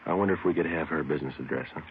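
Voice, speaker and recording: Fast, deep American police sergeant. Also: male voice, a little hiss or hum. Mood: courteous, probing. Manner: clipped, matter-of-fact, courteous. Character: brisk, businesslike male police sergeant narrating his case. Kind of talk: 1950s radio detective drama, narration and dialogue. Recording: tinny old radio with loud music.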